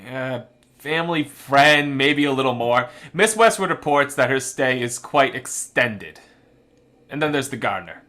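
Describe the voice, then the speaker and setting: An actor playing a brisk, wry policeman in a radio murder mystery, talking in a conversational, emphatic way. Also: medium pitch, sharp tone. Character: brisk, wry policeman briefing an inspector on suspects.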